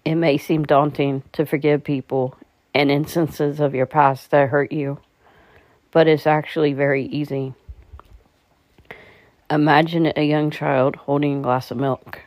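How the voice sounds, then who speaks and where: Woman guiding meditation, very even pitch. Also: measured pace, no echo. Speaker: meditation guide. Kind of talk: guided meditation narration.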